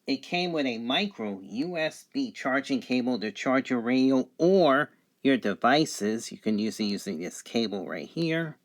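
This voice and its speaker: A man giving an unscripted product review, going item by item in a matter-of-fact, halting way. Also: mid-range voice, animated.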